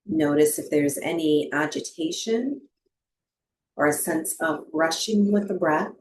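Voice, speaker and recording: A woman teaching yoga nidra and breathwork, giving guided meditation instructions in a gentle, soothing manner with long pauses. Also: low voice, a little hiss or hum. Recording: roomy, echoing voice recording.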